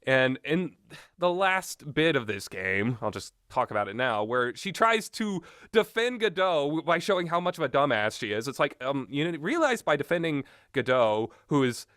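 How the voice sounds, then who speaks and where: A man's voice: a little high, sing-song, a bit bright, no echo, studio quality. Blunt, rambling man with opinions. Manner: blunt, exasperated, sweary, halting. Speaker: video game reviewer. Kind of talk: rambling, opinionated casual commentary.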